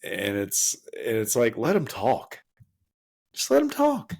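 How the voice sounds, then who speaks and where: A man's voice: wildly swooping pitch, slow, vocal fry, darkish tone, husky. A man co-hosting a podcast in casual chat, emphatic and stumbling over restarts as he rants. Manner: emphatic, full of restarts and stammers; swings up high when worked up. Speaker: podcast co-host.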